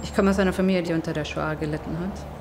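A young woman from Germany speaking calmly and reflectively in a documentary interview. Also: on the low side, racing, background noise. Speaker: interviewee, rabbinical student. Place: indoor room with plants. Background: soft music.

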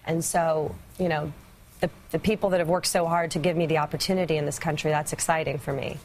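Earnest lady on a tv panel, lowish voice, bit of background noise. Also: steady tone, fast, darkish tone, rough recording. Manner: earnest, enthusiastic. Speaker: entrepreneur guest. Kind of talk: TV panel discussion. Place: TV studio set.

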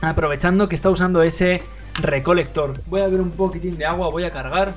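A man's voice: fairly high pitch, a little fast, faint music behind. A young man from Madrid with a Spain Spanish accent, hosting a livestream and commentating a mobile game match with lots of fillers. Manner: excitable play-by-play, many fillers. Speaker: livestream host and caster.

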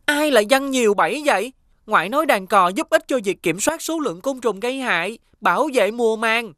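A woman voicing an earnest, excitable little boy in a children's cartoon. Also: on the high side, fairly quick, no echo, clean studio sound. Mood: concerned, indignant. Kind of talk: children's animated cartoon acting.

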